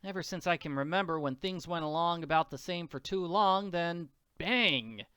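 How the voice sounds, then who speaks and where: Comic-strip orphan girl , read by a narrator: plucky, earnest, folksy slang, clear tone, close dry sound. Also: medium pitch, moderate pace, bright, forceful tone, no room sound, close to an everyday voice. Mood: pensive.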